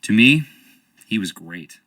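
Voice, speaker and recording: A man's voice: fairly low pitch, lively intonation, takes it slowly, sharp tone, full character voice. An actor playing a charming, evasive young stage actor with an American accent, wry and theatrical as he dodges questions. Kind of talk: video game voice acting.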